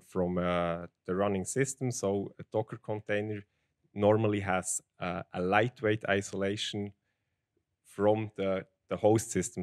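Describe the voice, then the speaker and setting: A man with a Swiss German accent and a fairly low voice explains technical material at a conference. The sound is dry and close, and his delivery is plain and steady. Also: dry recording. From Switzerland. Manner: plain, steady, with restarts and "basically". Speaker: conference speaker, field application engineer. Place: large conference hall with audience. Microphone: handheld microphone.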